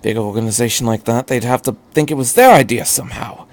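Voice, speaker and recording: A man's voice: mid-range voice, fast talker, lightly acted. A man voicing an intense, eccentric alien scientist hero in a fan story, with a grim, urgent and emphatic manner. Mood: calculating.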